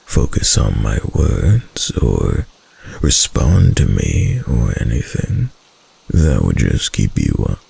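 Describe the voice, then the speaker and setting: A man playing a possessive, adoring kitsune husband in an intimate ASMR roleplay, murmuring softly in a deep, husky voice that often drops to a whisper. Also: heavily acted. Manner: slow, soft, adoring murmur with lingering pauses.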